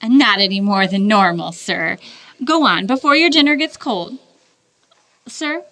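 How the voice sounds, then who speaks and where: A woman in a radio play voicing a plain-spoken housekeeper with a brisk, teasing manner. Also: medium pitch, pushed and bright. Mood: concerned, teasing. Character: plain-spoken, familiar female housekeeper Bessie. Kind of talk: stage radio drama acting.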